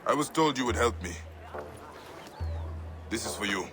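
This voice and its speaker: Brief: a grim warrior, low voice, lively pitch, over music. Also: male voice, normal speed. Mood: stern. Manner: terse, grave. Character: stern grim male warrior, terse and guarded. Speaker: warrior protagonist. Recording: game audio with music beneath.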